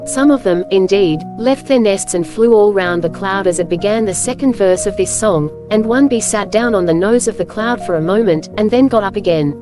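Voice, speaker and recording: A woman narrates a children's storybook in a scripted reading. Her voice is of medium pitch, with music playing clearly behind it. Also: moderate pace, hardly any pauses.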